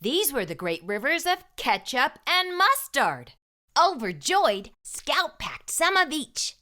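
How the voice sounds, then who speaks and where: Woman, children's storybook reader, high-pitched, lively swooping intonation, deliberate emphatic phrasing. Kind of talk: read-aloud storytelling for young children.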